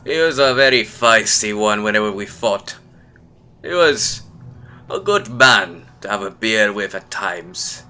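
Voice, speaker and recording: A game master voicing a cagey older man who once knew the hero's late father and talks in a slow, lecturing way. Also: lowish voice, big pitch swings, sharp tone, full character voice. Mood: guarded. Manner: cagey, lecturing, speaks slowly.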